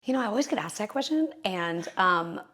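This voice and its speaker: A young woman with a raspy voice of middling pitch talks freely as a guest singer in an interview. She rambles a bit, leaning on "you know" and "like" as she goes. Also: expressive, a little fast, a bit bright. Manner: chatty, full of fillers like "you know" and "like". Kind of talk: casual interview chat.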